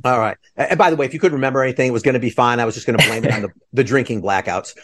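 A middle-aged man hosting a podcast interview, chatting casually in an animated, rambling way. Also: medium pitch, rapid-fire. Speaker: podcast host interviewing theater guests. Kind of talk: casual chat.

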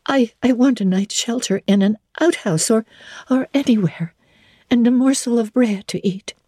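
A woman's voice: fairly high pitch, normal speed, dark tone, dry recording, studio quality, voice pushed up, natural voice. A woman voicing a desperate, exhausted young woman wanderer, pleading and faltering as she speaks.

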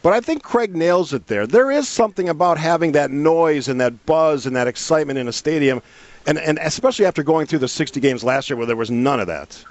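A man's voice: on the high side, expressive, fairly quick, no room sound, dull audio. A man hosting a sports radio interview, setting up questions for his guest in a conversational way.

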